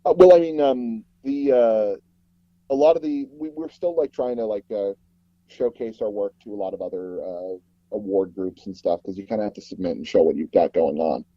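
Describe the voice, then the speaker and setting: Male interview guest, low and husky, rambling and self-effacing, on a muffled, dry recording. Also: normal speed, no echo. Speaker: interview guest, audio drama producer. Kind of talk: casual interview talk.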